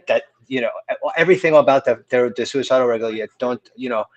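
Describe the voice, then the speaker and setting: This is a man in his forties, a punk singer being interviewed, who talks in a loose, rambling way full of "like" and "um" and restarts. His voice sits at an ordinary middle pitch. It is recorded close and dry, with no room sound. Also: fast talker, no room sound, highs cut off. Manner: relaxed, rambling, lots of fillers and restarts. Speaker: hardcore band vocalist, podcast guest. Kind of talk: casual interview chat.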